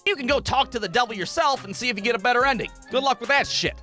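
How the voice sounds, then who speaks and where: A man's voice: extremely high pitch, rapid-fire, sharp tone. A man narrating a scripted comedic story in a foul-mouthed, exaggerated way over background music. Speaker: storyteller narrating a ghost hunt. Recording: music behind the voice.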